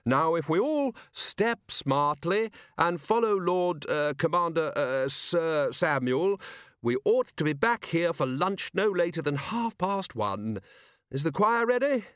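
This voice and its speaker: Man voices a blustering, stammering old wizard, pitched high, loud. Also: lively intonation, takes it slowly, smooth, dry recording, studio quality. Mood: bellowing. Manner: bellowing, stammering. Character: flustered blustering old male wizard leader.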